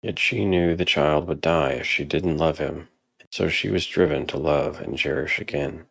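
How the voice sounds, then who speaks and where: A man's voice: rumbling low, moderate pace, gravelly, a bit bright, no room sound. A man narrating a dramatic story from a script, as a storyteller.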